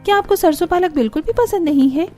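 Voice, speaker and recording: Woman voicing an eager, high-pitched young daughter-in-law. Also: expressive, fast, darkish tone, faint music behind. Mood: earnest questioning. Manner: puzzled, eager, questioning.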